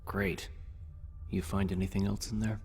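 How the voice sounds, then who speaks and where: A man's voice: low-pitched, level tone, moderate pace, darkish tone, soft background music. Man voicing an anxious, earnest young squad man. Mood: uneasy, curious.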